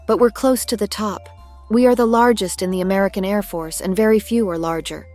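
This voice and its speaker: A woman voicing a genial, gently teasing middle-aged military cargo plane in genteel, scripted cartoon dialogue. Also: medium pitch, brisk, soft background music, natural voice.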